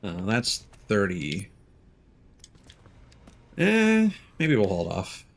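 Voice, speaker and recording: A man's voice: fairly low pitch, huge pitch swings, very slow, vocal fry. A man giving casual gameplay commentary as a streamer, muttering to himself and reading in-game notes aloud.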